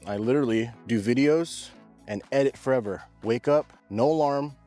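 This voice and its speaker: A man's voice: medium pitch, normal speed, dark tone, soft background music. Young guy yard vlogger, casual, slangy, easygoing. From Pacific Northwest. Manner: laid-back, chatty, slangy, says bro and dude a lot. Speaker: lawn care vlogger. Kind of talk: casual vlog talk to camera. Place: outdoors in a yard.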